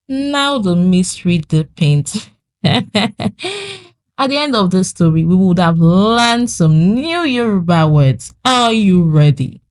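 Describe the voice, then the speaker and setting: A woman with a Nigerian accent tells a folktale as host, in a theatrical, suspenseful way with deliberate phrasing. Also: mid-range voice, dry recording. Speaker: storyteller and host. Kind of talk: dramatic folktale storytelling.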